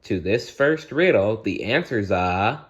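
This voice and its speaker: A man voicing a kindly, motherly female fairy, reciting riddles deliberately in a bedtime story. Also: lowish voice, expressive, highs cut off. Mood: reciting, mysterious.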